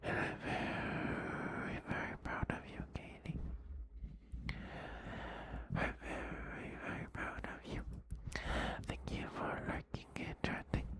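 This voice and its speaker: A man speaking softly and breathily as an ASMR streamer, close to the microphone, murmuring gentle affirmations to his viewers. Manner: soft, breathy whispering with slow, repeated affirmations. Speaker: ASMR livestreamer. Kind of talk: ASMR, intimate rambling to viewers.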